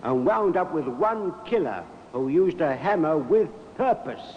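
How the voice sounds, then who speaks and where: An actor playing a frank junior police officer in a 1950s radio play, with a wry, clipped manner. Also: male voice, fairly high pitch, lively intonation, a little slow, background noise. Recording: muffled echoing old radio recording.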